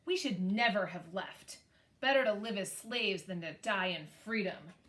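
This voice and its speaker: Woman lay reader at a church service, steady and solemn, roomy sound, voice set back from the mic. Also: medium pitch. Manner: measured, solemn reading. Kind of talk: liturgical reading aloud. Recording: echoey church, voice picked up from a distance.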